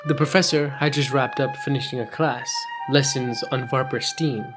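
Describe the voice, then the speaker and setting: A man's voice: mid-range voice, fairly even intonation, normal speed, over music. A man co-narrating a fiction podcast in a scripted, conversational storytelling style, with an informal manner.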